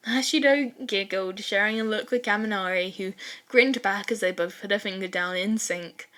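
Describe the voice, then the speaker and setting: A woman with a fairly high voice narrates a story as an amateur audiobook. Her reading is expressive and animated, slipping into dramatic asides as she goes. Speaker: fan fiction narrator.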